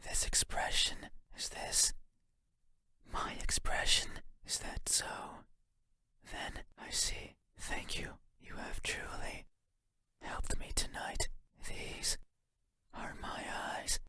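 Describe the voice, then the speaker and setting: An unsettling creature of the night from a horror game, wounded and menacing. The voice is a breathy whisper with almost no tone in it. Each phrase comes very slowly and deliberately, with obsessive intensity. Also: male voice, pushed and bright. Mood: brooding, intimate.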